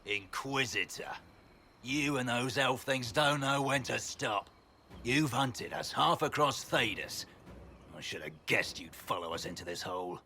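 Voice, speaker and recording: Battle-worn villain general, mid-pitched hard voice, defiant, menacing, unhurried, fantasy game, faint background noise. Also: male voice, lively intonation, sharp tone. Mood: scornful. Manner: menacing, boastful, deliberate. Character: bitter, defiant villainous male general, battle-hardened fanatic. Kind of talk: scripted fantasy game acting.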